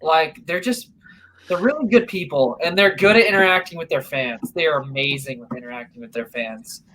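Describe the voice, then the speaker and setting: A man's voice: a little high, a little fast, pushed and bright, poor audio. A man chatting casually as a guest in a group conversation about video games. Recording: noticeable background noise.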